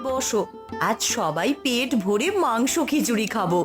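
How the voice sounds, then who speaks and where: A woman voicing a gentle, caring village mother in a cartoon. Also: on the high side, expressive, unhurried, music in the background. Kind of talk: cartoon voice acting.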